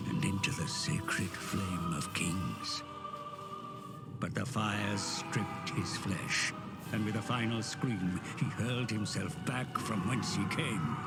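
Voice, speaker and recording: A male actor playing a grave, ominous storyteller, narrating an epic fantasy game cutscene in a measured, dramatic way. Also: sits low, gravelly, exaggerated voice. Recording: loud cinematic music behind the voice. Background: music.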